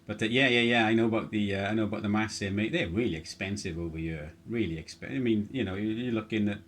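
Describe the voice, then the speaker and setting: A man working as an amateur radio operator, giving casual technical details in a halting, matter-of-fact way. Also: lowish voice, fairly bright tone, a little hiss or hum. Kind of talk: casual technical chat over ham radio.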